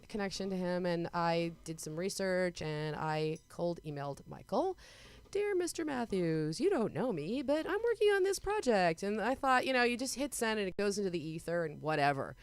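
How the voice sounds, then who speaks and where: A woman composer chatting as a guest on a talk show, telling lively stories with quick asides. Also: no echo. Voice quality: smooth, very low singing voice. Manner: animated storyteller, quick asides. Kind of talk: casual interview chat.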